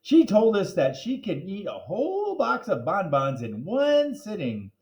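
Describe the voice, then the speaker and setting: A man's voice: high voice, wildly swooping pitch, a little slow, a little mellow. A man reading a children's chapter book aloud, with playful, jokey asides to his listeners. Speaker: reader.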